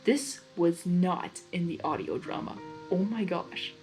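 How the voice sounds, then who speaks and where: A woman reading fan fiction aloud in an informal, excitable way, with casual reaction asides between the narration. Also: fairly low pitch, measured pace, soft background music.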